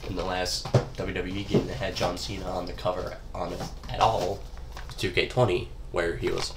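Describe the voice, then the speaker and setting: A man's voice: sits low, flat delivery, normal speed, very noisy. Congested young man rambling about games. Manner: rambling, offhand, swears casually. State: congested from a cold. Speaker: gaming YouTuber. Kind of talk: casual solo gaming commentary.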